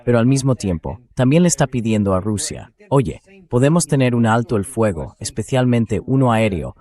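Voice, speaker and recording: A man's voice: fairly low pitch, darkish tone, lightly acted. A man's synthetic voice dubbing an interview host in political commentary, reading conversational speech aloud. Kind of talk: AI-read dubbed political commentary.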